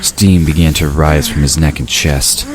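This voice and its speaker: A man delivers scripted narration for an audio drama. His pitch is exceptionally low. Noisy ambience and effects sit clearly behind the voice. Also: steady tone, a little slow.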